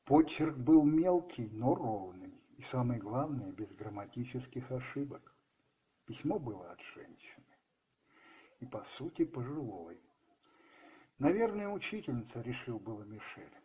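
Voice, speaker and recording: A man's voice: mid-range voice, sing-song, unhurried. Theatrical male radio play narrator, dull recording. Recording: dull muffled sound with music behind. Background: music.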